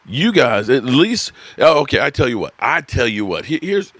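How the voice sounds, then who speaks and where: A man's voice: mid-range voice, big pitch swings, normal speed, a bit bright, dry recording. A man in his fifties hosting a live sports stream, rambling, swearing and laughing as he reads viewers' comments from his desk. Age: 50s. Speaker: sports channel host. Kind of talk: live-stream sports talk, reading chat.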